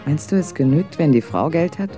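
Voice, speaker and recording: A woman's voice: low voice, animated, fairly quick, warm tone, faint music behind. Cutting, bitter proud woman. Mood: scornful. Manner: cutting, scornful. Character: bitter, proud former career woman in a wheelchair, disdainful. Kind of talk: radio drama acting.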